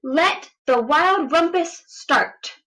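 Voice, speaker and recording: A woman playing a bold, bossy little boy from a storybook who gives loud commands. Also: high voice, animated, very slow, pitched above the performer's own voice, exaggerated voice. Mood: bossy, commanding.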